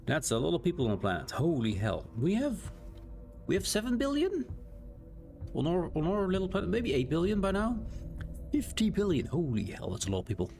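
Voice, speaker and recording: A Dutch man with a Dutch accent, talking through a game on a live stream, reading on-screen text aloud and thinking out loud with small restarts. His pitch swings up and down in a lively way, and clear game music plays behind his voice. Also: brisk. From Netherlands. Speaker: gaming streamer. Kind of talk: live gameplay commentary.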